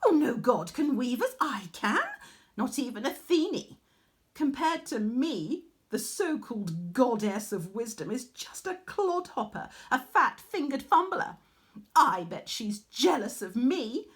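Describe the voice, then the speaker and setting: A woman's voice: a little high, sing-song, slow pace, voice pushed up. A woman voicing an arrogant young weaver in a storybook, gushing and haughty about herself. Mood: boastful, gushing. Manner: gushing, self-admiring, haughty. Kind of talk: storybook character voice.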